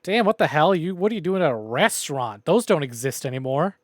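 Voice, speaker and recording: A man's voice: fairly high pitch, expressive, a little fast, no room sound. A man live-streaming a visual novel, reading lines aloud theatrically and adding playful, joking commentary. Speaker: game streamer.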